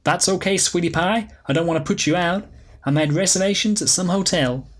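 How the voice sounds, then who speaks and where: A man voicing a flirtatious, brash woman who teases and uses pet names, in a husky voice. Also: high-pitched, fairly quick, pitched above the performer's own voice. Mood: flirty teasing.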